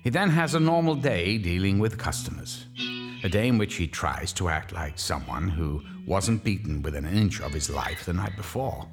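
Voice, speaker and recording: Very deep male narrator with animated delivery. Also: moderate pace. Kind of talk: dramatic scripted radio narration. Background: guitar music.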